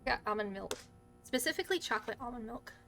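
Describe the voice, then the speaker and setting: A woman streaming a game live, commentating and talking to her chat, swearing freely with excitable outbursts, her voice a little hoarse. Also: fairly high pitch, fast talker, over music. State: a bit hoarse.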